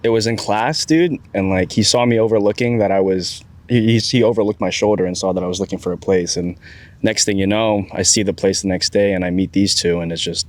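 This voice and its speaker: A man's voice: on the low side, fairly quick. A young man who grew up in the Philippines, a college student chatting as a guest in a laid-back, slangy way. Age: young adult. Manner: laid-back, slangy, says dude and bro a lot. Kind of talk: casual chat.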